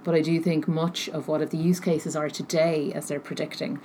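A woman answering interview questions thoughtfully, pausing often as she searches for her words. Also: sits low, level tone, dark tone. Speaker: interview guest, technology researcher. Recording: noticeable background noise.

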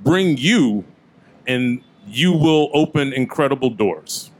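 A man's voice: mid-range voice, lively intonation, slow. Earnest man rapping about hip hop. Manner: earnest, loose and conversational. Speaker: freestyle rapper on a panel. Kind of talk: casual panel talk. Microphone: handheld mic.

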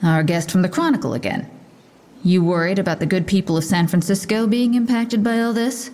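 An actress playing a brisk, sardonic police lieutenant giving a guarded press briefing in an audio drama. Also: female voice, mid-range voice, darkish tone, lightly acted. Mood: dry, sardonic.

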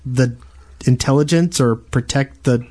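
A man's voice: mid-range voice, unhurried, darkish tone. A man with a mid-range voice talks casually as a co-host on a gaming podcast. He tells anecdotes in a loose, rambling, conversational way.

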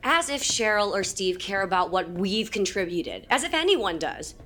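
An actress playing a resentful, sharp-tongued songwriter arguing with her partner. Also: mid-range voice, sing-song, moderate pace, a little hiss or hum. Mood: bitter. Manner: curt, sarcastic.

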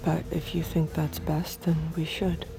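A woman's voice: sits low, flat delivery, unhurried, creaky, over music. An actress playing a devoted, scheming woman android who persuades earnestly and seductively in an audio drama. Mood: earnest pleading. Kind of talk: intimate audio drama acting.

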